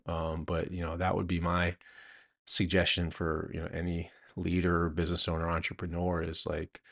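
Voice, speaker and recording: A man answering interview questions conversationally as an author and host, thinking aloud haltingly with many a "you know". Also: low-pitched, monotone, gravelly, fairly bright tone.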